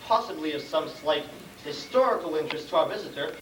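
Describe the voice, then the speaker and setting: Man as a high-voiced, pompous royal chancellor, distant. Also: lively intonation, quick pace, natural voice. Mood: ceremonious. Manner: ceremonious, wordy, formal. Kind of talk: amateur stage comedy acting. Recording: distant, echoey, noisy stage recording.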